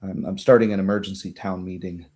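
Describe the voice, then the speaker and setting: Casting: man as worried noblewoman of a fantasy game, mellow and dark in tone, formal, halting, urgent. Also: low voice, fairly even intonation, lightly acted. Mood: tense, resolute. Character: anxious, proper noblewoman baroness, worried for her town.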